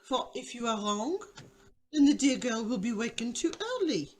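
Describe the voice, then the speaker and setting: An actress playing a gently firm, soothing governess with a French accent in a radio drama. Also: female voice, on the high side, animated, moderate pace, slight background noise, natural voice.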